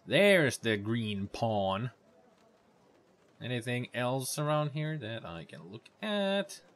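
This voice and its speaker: A man's voice: mid-range voice, sing-song, slow. A man giving casual, rambling commentary over gameplay, with sarcastic asides. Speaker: let's play commentator.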